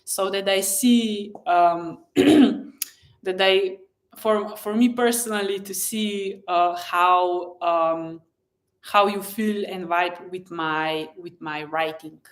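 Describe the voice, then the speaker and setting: A woman who is a native Slovenian speaker, hosting a casual live chat in a gentle, encouraging and effusive way, often pausing mid-thought. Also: medium pitch. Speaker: live stream host and blogger.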